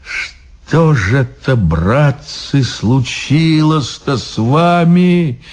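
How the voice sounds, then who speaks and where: A man's voice: medium pitch, lively intonation, very slow, darkish tone, pitched above the performer's own voice. A man voicing a young, impulsive man who exclaims and pleads in a strained way. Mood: exclaiming.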